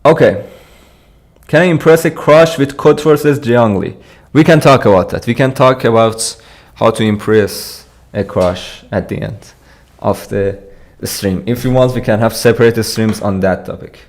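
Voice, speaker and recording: A young man from Iran talks through programming solutions on a live stream at a desk microphone. His voice sits at an ordinary middle pitch, and his delivery is loose and hesitant, full of restarts and little laughs as he answers chat. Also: unhurried. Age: young adult. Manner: casual, hesitant, restarts sentences, laughs while reading chat.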